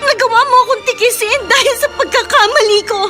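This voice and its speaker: An actress playing a guilty, defensive young college student in a Tagalog radio drama, plaintive and emotional, with a Filipino accent. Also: female voice, very high voice, quick pace, loud music behind the voice. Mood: tearful, angry.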